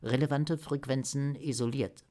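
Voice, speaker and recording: A man's voice: medium pitch, steady tone, moderate pace, warm tone, pitched above the performer's own voice, natural voice. A man voicing a factual, prompt artificial intelligence assistant with a precise, informative manner. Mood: matter-of-fact.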